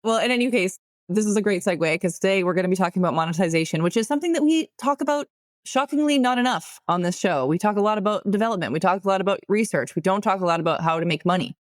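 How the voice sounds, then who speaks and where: A woman's voice: mid-range voice, fast, no echo, studio quality. A woman hosting a podcast, upbeat and enthusiastic as she introduces a guest and asks questions. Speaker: podcast host. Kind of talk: scripted intro then interview questions.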